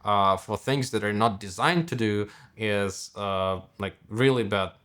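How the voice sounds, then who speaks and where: A man from Russia with a Russian accent, a native Russian speaker, explaining technology as a startup co-founder in a halting, hesitant way. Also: fairly low pitch, fairly bright tone. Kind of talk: explanatory interview talk about technology.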